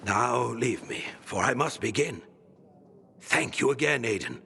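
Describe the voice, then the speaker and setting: Grandiose old scholar, pompous flowery speech, bright husky tone, game cutscene. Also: male voice, mid-range voice, animated, measured pace, big-room sound, heavily acted. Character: grandiose old male scholar, theatrical and florid. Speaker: book-collecting patron.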